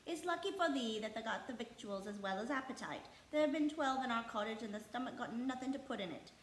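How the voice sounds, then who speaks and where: Storybook housemaid played by a woman: brisk, motherly, dialect, emphatic, in a roomy space. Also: on the high side, expressive, a little hiss or hum, lightly acted. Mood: brisk, encouraging. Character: cheerful Yorkshire housemaid, young, brisk and motherly.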